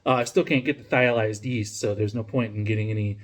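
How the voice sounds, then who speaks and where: A man's voice: on the low side, brisk. A man casually reviewing a beer on camera, rambling with lots of fillers, restarts and swearing, and poking fun at himself. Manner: rambling, self-mocking, profane, many fillers and restarts. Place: home room, lives in Denmark.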